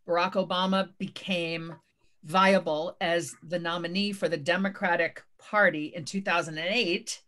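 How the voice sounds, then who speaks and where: A woman moderating a book conversation, measured and thoughtful with frequent hesitations. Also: on the low side, pushed and bright. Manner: measured, many um and uh fillers. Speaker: interviewer and moderator.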